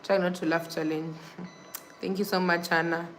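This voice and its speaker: A woman's voice: on the low side, flat delivery, unhurried, a bit bright, a little hiss or hum. Young Kenyan woman chatting on a livestream. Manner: repeats thank-yous and subscribe reminders, reads comments aloud. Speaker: livestream host. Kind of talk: casual live-stream chat with viewers.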